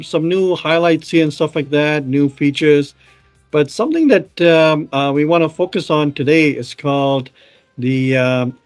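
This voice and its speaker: A man's voice: fairly high pitch, dry recording. A man from Malaysia co-hosting a casual tech show, talking loosely with many fillers while demoing his screen over a video call. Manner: chatty, many fillers, laughs easily.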